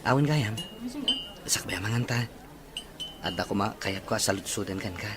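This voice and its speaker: Actor as sincere young Ilocano suitor. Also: male voice, lowish voice, moderate pace, noisy background, lightly acted. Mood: tender. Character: sincere working young suitor, tender and hopeful. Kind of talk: Ilocano radio-style serial drama acting.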